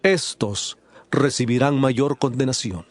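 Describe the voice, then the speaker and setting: A man's voice: mid-range voice, takes it slowly, dry recording. A man playing an authoritative religious teacher in a dramatized scripture audiobook, speaking in a solemn, emphatic, declamatory way. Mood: stern warning.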